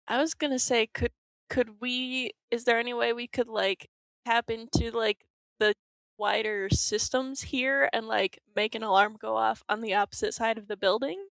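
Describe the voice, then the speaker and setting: A woman's voice: on the high side, fairly even intonation, moderate pace, vocal fry, fairly bright tone, dry recording, poor audio. A woman playing a tabletop game, asking questions in a chatty way. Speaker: player. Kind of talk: casual tabletop play.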